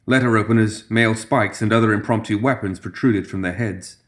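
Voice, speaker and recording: Low-pitched man narrating dark fiction. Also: steady tone, fairly quick. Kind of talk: scripted dramatic story narration.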